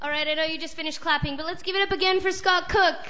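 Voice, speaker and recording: A woman's voice: very high-pitched, steady tone, fast talker, background noise. A woman guest-hosting an open mic, joking and rambling between acts in a chatty, self-mocking way. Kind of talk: casual joking stage banter. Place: small live venue on stage. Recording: dull lo-fi live recording.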